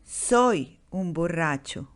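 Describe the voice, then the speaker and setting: Slow, careful woman modeling phrases. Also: on the low side, lively intonation, clear, resonant tone. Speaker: native-speaker model for pronunciation.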